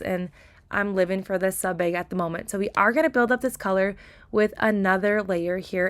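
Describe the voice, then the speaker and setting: A young woman talks through her tutorial in a bubbly, chatty voice-over. Her voice is a little raspy, and her pitch sits in an ordinary middle range. She gushes freely and scatters casual fillers as she goes. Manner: enthusiastic, chatty, lots of "like" and "kinda". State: slightly raspy voice. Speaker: nail tutorial creator.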